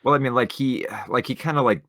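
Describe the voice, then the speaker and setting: A man co-hosting a podcast, discussing films casually in a rambling, halting way with the odd swear word. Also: medium pitch, fairly bright tone, dry recording. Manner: rambling, halting, informal with profanity. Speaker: podcast co-host.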